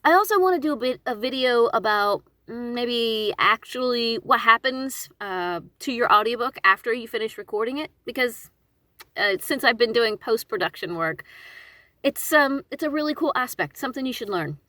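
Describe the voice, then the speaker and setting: A woman with a slightly high voice that swings freely up and down, recorded close and dry. She talks informally as a narrator giving advice on her own channel. Her delivery is conversational, expressive and emphatic, with self-deprecating asides. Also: normal speed, dry recording. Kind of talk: informal instructional talk to camera.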